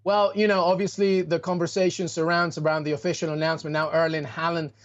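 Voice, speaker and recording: A man from Peru giving emphatic soccer analysis on a sports show, talking fast and using rhetorical questions. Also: on the high side, level tone, lo-fi sound.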